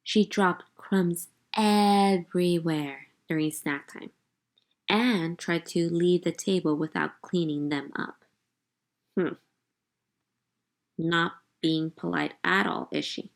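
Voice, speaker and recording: A woman's voice: fairly low pitch, fairly even intonation, slow, vocal fry. A woman teacher leading a read-aloud lesson for young readers, instructive and speaking directly to her listeners. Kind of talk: read-aloud lesson, storybook narration and guided questions.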